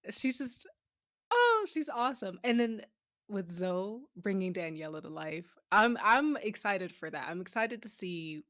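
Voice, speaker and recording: A woman's voice: mid-range voice, very animated, a little slow, a bit bright, dry recording, clean studio sound. A woman chatting casually as a guest on an after-show, thinking aloud as she goes. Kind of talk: casual chat. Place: online group voice call.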